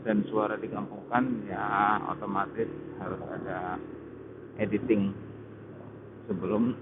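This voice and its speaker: An Indonesian man giving a casual, unscripted gear review while riding a motorcycle, halting often as he searches for words. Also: lowish voice, little pitch movement. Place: outdoors on a motorcycle in traffic. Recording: tinny phone-like helmet intercom, loud road noise. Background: loud vehicle and road noise.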